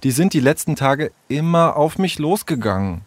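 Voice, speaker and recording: A man's voice: a little high, big pitch swings, normal speed, no room sound, voice pushed up. A man voicing a hurt, self-doubting schoolboy in a children's story, hesitant and subdued.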